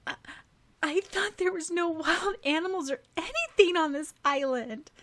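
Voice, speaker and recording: A woman's voice: very high-pitched, lively intonation, normal speed, fairly bright tone, voice pushed up. A woman voicing a frightened, panicky teenage girl on the verge of tears in an audiobook story.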